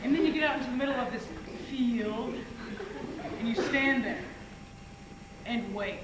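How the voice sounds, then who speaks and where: A teenage male student actor playing a mischievous high school boy on an amateur stage. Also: normal speed. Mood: sly explaining. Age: teens. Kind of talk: amateur stage play acting. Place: theatre stage, indoors. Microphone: far camera. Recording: echoey stage, clearly noisy camera audio.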